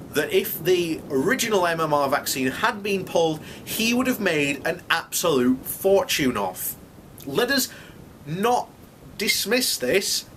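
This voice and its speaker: A man's voice: high-pitched, a bit bright, slight background noise. A man giving unscripted, opinionated commentary as a video blogger, speaking emphatically and indignantly and repeating himself to drive points home.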